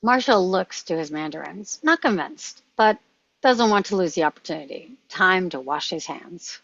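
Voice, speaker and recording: A woman's voice: lowish voice, expressive, normal speed, creaky, fairly bright tone, no echo, dull audio. A woman reading stage directions as scripted narration at a screenplay table read.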